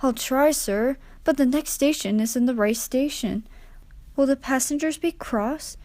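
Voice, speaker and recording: A woman's voice: high voice, a little slow, darkish tone, no room sound, voice pushed up. A woman voicing a plucky, anxious little male steam engine in a children's storybook, with earnest, effortful exclamations.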